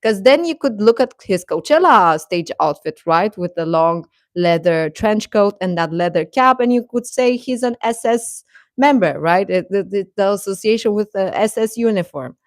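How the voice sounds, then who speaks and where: A woman hosting a livestream, giving casual fan commentary to her chat in a rambling, halting, emphatic way that repeats points. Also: mid-range voice, animated, no room sound, clean studio sound. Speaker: livestream host.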